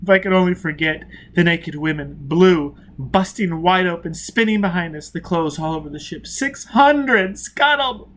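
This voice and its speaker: A man's voice: high-pitched, moderate pace, hardly any pauses, a bit bright, pitched above the performer's own voice, poor audio. A man voicing a tortured, terrified spaceship crewman in an audiobook, gasping out short broken exclamations of anguish. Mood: anguished confession.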